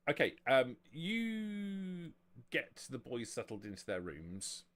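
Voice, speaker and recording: A man narrating and explaining a tabletop roleplaying game as game master, halting and often rephrasing as he goes. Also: mid-range voice, wildly swooping pitch, fairly bright tone.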